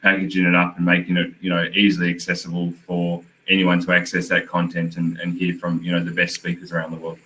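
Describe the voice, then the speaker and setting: Panelist, man, very low monotone voice, measured, filler-heavy. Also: poor audio. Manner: measured, with many "you know" fillers. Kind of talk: panel discussion answer.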